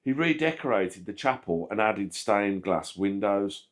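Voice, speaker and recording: Man, low-pitched voice, scripted documentary narration, measured factual reading. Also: lively intonation. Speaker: channel host narrating a history video. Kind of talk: scripted narration, read aloud.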